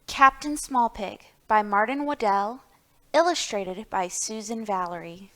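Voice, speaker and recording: A woman's voice: mid-range voice, animated, unhurried, pushed and bright. A woman hosting a library storytime, talking to young children and reading picture books aloud with questions and emphasis.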